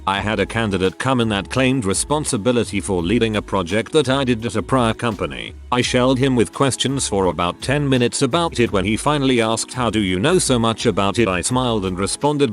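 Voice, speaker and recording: A man reading online stories aloud as a scripted narrator, with a lively storytelling delivery. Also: on the low side, brisk, hardly any pauses. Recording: faint music underneath.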